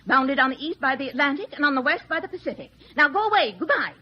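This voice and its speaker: An actress playing a brisk, suspicious small-town American housewife, curt and indignant, in 1950s radio drama style. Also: female voice, high voice, animated. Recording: muffled, noisy 1950s radio recording.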